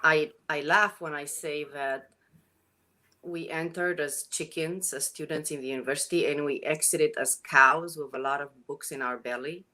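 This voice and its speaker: A middle-aged woman from Romania with a Romanian accent, a guest in a casual interview, animated and quick to laugh. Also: low-pitched. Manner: animated, laughs often, earnest.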